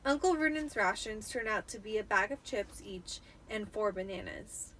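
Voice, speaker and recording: A tipsy woman reading a story aloud in an expressive, dramatic audiobook style, with the occasional stumble. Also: mid-range voice, normal speed, rough recording. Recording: noticeable background noise.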